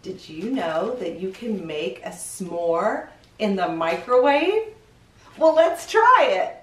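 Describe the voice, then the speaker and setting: A woman's voice: medium pitch, big pitch swings, slow pace. A middle-aged woman hosting a show for young children, chatty and playful, often putting questions to the viewers. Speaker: children's story show host. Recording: roomy echo, distant mic.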